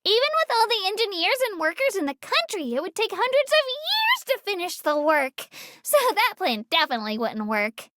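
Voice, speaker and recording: A woman's voice: very high-pitched, huge pitch swings, normal speed, pushed and bright, heavily acted. A woman voicing a small, cheerful female creature who works as an eager, polite tour guide in a video game, with a cute, girlish voice and childlike earnestness. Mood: earnest, musing. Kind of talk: scripted video game character narration.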